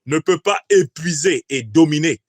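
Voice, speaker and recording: A man giving an oratorical political commentary, declamatory, with emphatic pauses and rhetorical questions. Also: a little high, expressive, husky, no room sound. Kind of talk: oratorical analysis of a speech.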